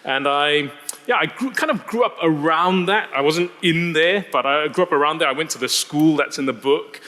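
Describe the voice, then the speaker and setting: A man from Singapore telling his personal story as an interview guest, haltingly, repeating words and phrases. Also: a little high, bright, forceful tone. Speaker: interview guest giving testimony. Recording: reverberant hall.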